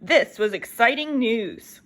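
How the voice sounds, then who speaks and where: A woman reading a children's picture book aloud in an expressive, lively storytelling manner. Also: on the high side, slow pace.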